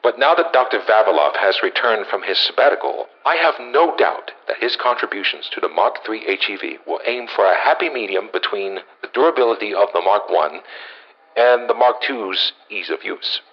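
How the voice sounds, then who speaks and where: An actor playing a gruff, wry male senior scientist, dictating a formal yet musing, dryly humorous audio log for a game through a radio-like filter. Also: on the low side, animated, normal speed. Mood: dry, businesslike. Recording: muffled lo-fi audio log recording.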